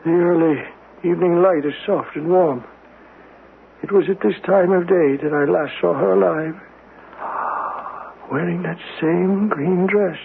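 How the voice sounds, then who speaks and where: An actor playing a frail, dying old uncle haunted by lost love, speaking haltingly and repeating himself in a radio drama. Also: male voice, high-pitched, vocal fry. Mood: wistful. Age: 70s or older. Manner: halting, repeats words in agitation. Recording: muffled, noisy old radio broadcast.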